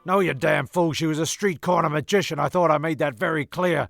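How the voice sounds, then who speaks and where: A man's voice: a little high, a little fast, vocal fry, a bit bright, dry recording, faint music behind. A man acting a cranky, foul-mouthed, self-pitying narrator in a comedic amateur radio play, given to indignant, stammering rants. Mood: indignant, wounded.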